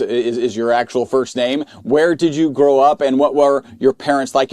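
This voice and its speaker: A man's voice: mid-range voice, darkish tone, no room sound, clean studio sound. A man hosting a podcast, introducing the show and guest and asking interview questions. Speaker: podcast host.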